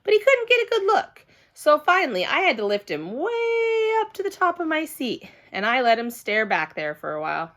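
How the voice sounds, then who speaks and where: Animated woman reading a children's story. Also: high voice, normal speed, few pauses. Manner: childlike, emphatic, dramatic phrasing. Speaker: storybook reader. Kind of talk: animated scripted children's narration.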